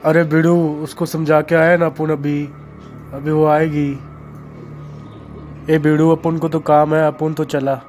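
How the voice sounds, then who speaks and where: A man's voice: fairly high pitch, level tone, measured pace, long pauses, a little mellow, lightly acted. A man playing a cocky, slang-talking street tough in a children's story. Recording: dull lo-fi sound with music. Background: music.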